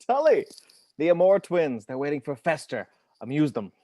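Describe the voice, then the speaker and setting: A man acting a suave, dramatic, amorous gentleman with grandiose theatrical delivery in a script table read. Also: on the high side, sing-song, normal speed, no room sound. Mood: brisk, commanding.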